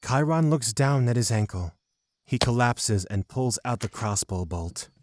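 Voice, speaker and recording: A man's voice: mid-range voice, measured pace, darkish tone, no echo, clean studio sound. A man narrating a scripted fantasy audio drama.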